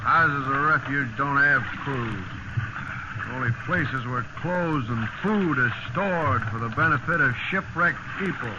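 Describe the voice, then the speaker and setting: An actor playing a plain-spoken, skeptical shipwrecked seaman in a 1950s radio drama, deliberate and matter-of-fact. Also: medium pitch, natural voice. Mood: firm, contradicting. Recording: muffled old radio, loud water noise.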